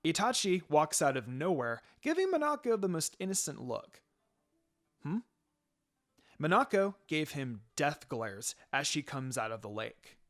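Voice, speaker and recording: A man's voice: fairly high pitch, big pitch swings, moderate pace, few pauses, fairly bright tone. A man reading fan fiction aloud as a dramatic story narrator.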